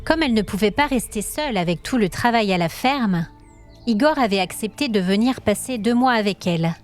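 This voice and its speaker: A woman's voice: mid-range voice, expressive, a little fast. A woman storyteller reading a scripted fantasy tale as dramatic narration. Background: music.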